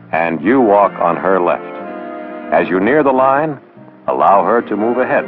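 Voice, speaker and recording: A low-voiced man narrates a 1960s training film. He instructs in a lively, rising and falling delivery with dry sarcastic asides. The old soundtrack is dull, with music behind him. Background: background music.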